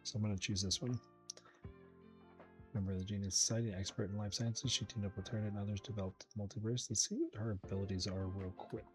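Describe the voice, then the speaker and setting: A man's voice: lowish voice, fairly even intonation, fast talker. A man giving casual, easygoing game commentary, thinking aloud as he plays. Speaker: host of a game playthrough channel. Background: game music behind the voice.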